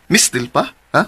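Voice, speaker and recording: An actor playing a hesitant, bashful young suitor in a Filipino radio drama, with a Cebuano accent. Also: male voice, fairly high pitch, sharp tone, breathy edge, full character voice. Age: young adult. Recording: faint background noise.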